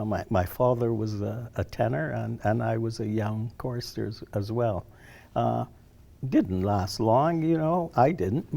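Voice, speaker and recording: Old guy from barbados chatting about his life, gravelly and soft-toned, kinda stop-start, repeats words. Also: fairly low pitch. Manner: halting, circling back and repeating words. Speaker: interview guest, retired social worker. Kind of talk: casual conversational reminiscing.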